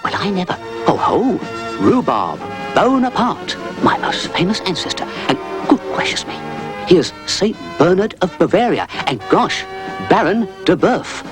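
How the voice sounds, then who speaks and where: A man voicing a pompous, excitable male dog in a storybook reading, with blustering exclamations and grand airs. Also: extremely high pitch, a little slow, voice pushed up. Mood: amazed. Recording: music playing behind.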